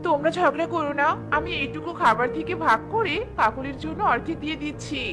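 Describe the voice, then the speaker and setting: A woman voicing a kindly, generous mother bird in a children's fairy tale cartoon, speaking in a gentle, coaxing way. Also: sits high, fast, music in the background, close to an everyday voice.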